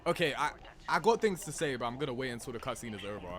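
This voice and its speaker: A man giving casual, expressive reaction commentary in informal slang as a video host. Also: medium pitch, racing.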